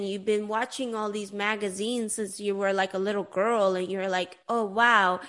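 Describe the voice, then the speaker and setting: A woman's voice: mid-range voice, fairly bright tone. A young woman from Sweden hosting an interview show in a chatty, casual way, full of "like" and "you know". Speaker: podcast host.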